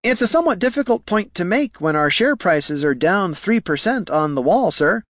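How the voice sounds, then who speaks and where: A man plays a meek, anxious clerk from a fantasy storybook. The voice is pitched high and squeaky, with lively swings, and stays formal and eager to defer to superiors. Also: moderate pace, no echo, clean studio sound. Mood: nervous, squeaky. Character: nervous, deferential male Scribkin financial clerk.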